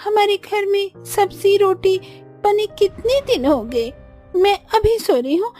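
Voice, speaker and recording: A woman's voice: extremely high pitch, measured pace, mellow, faint music behind, voice pushed up. A woman voicing a sulky, hungry little village girl in a cartoon, childlike and whining. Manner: whining, pleading.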